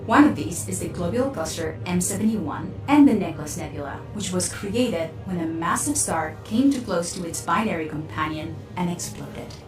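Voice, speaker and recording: A woman's voice: on the low side, expressive, normal speed, hardly any pauses, close to an everyday voice. A woman voicing a sarcastic ship AI crewmate. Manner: dry, sarcastic, lecturing. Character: snarky female starship crew AI, sarcastic. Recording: roomy, distant, music behind.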